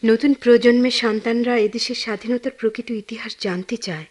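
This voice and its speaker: An actress with a Bangladeshi accent playing an earnest, devoted, quietly lonely woman, with soft, heartfelt radio drama delivery. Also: a little high, fairly even intonation, fairly quick, lightly acted. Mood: earnest, admiring. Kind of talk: Bengali radio drama acting.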